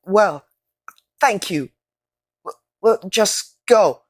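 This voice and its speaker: A woman's voice: medium pitch, expressive, extremely slow. A woman playing an earnest young boy wizard with an intense manner in an audio drama. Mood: uneasy. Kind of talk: fan audio drama acting.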